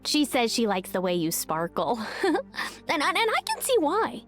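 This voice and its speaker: A youthful, gushing game heroine. Her voice is high and swings wildly in pitch, awkward and eager over faint music. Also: wildly swooping pitch, normal speed. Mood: starstruck, bubbly. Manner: bubbly, gushing, stammering.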